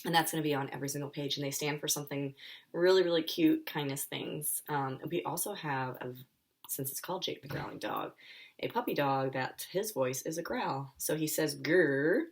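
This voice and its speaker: A woman with an American accent and a low-pitched voice gives an informal live read-aloud for children. She speaks as an author, with chatty, friendly asides to her young listeners. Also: fairly quick. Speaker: children's author and school counselor.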